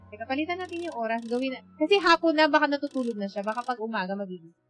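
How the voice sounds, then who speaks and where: A woman with a Filipino accent giving expressive, casual live game commentary, chatting to her viewers. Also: high-pitched, very fast. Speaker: game streamer. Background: game music.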